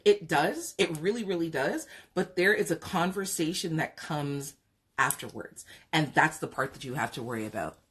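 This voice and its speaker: A woman's voice: on the low side, a bit bright, husky. A woman with a Jamaican accent hosting a live podcast chat, giving frank, opinionated advice with lots of fillers. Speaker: podcast host. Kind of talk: live chat talk show, reading viewer comments.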